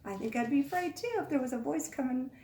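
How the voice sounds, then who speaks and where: A woman reading a picture book aloud to young children, expressive, pausing to ask the listeners questions. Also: sits high. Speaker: children's storytime reader. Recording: echoey room, far from mic.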